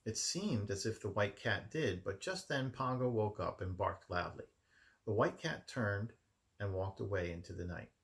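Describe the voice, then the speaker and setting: A man with a gravelly voice reading a children's story expressively, narrating from a written text in his room at home. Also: fairly low pitch, animated, moderate pace. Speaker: amateur storybook reader.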